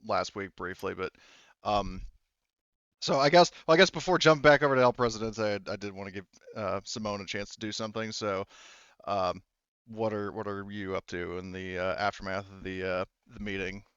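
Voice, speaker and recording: Male game master, smooth low tone, halting casual narration, muffled voice-chat sound. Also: fairly bright tone, no room sound. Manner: hesitant, rambling delivery with repeated false starts.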